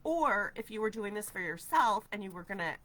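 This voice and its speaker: A woman's voice: medium pitch, lively intonation, fairly quick, fairly bright tone. A woman in her forties with a stuffy head cold, chatting upbeat on a craft livestream in her studio, answering viewer comments. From New Hampshire, USA. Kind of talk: casual craft livestream chat. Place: craft studio with shelves of ribbon. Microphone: camera at desk distance.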